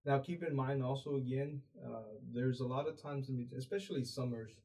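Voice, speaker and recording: A man's voice: medium pitch, steady tone, brisk, mellow, low-quality recording. A young man raised in Mexico, a podcast guest chatting casually, rambling with many fillers and restarts. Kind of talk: casual interview chat.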